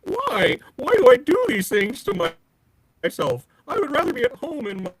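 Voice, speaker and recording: A timid talking tiger from a comic book, pitched way up high. The voice whines and stammers with wildly lively swings, forever nervous. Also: moderate pace, breathy edge, no room sound, clean studio sound, heavily acted. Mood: whiny, fearful. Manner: whining, stammering. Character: cowardly, whiny, timid talking tiger pet. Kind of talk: dramatic comic book table read.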